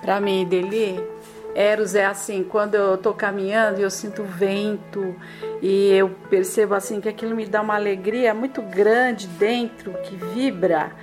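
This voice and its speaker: A woman in her sixties speaking in a heartfelt, expressive way as a guest in a group radio talk. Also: medium pitch, moderate pace, over music. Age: 60s.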